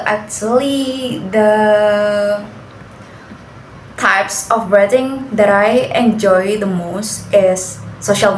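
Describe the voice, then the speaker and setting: A young Indonesian woman talks in English in a casual student interview, pausing to search for words and laughing softly now and then. Her voice sits at a middle pitch. The room rings with echo, and a faint hiss lies underneath. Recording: echoey room, faint background noise.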